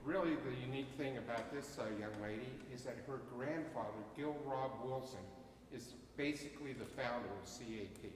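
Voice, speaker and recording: Loud halting man in an echoey chapel. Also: mid-range voice, a little hiss or hum. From Bucks County, Pennsylvania. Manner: loud, halting, with many uhs and self-corrections. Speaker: ceremony emcee and CAP wing commander. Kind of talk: ceremony address. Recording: echoey chapel with long reverb.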